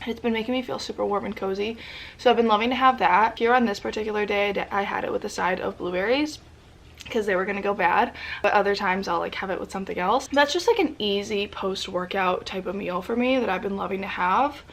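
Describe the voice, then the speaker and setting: A woman's voice: mid-range voice, fast talker, gravelly. Bubbly, sniffly young woman vlogger. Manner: bubbly, rambling, lots of "like". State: congested, sniffly voice. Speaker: lifestyle vlogger. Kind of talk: chatty sit-down vlog. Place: indoors at family home.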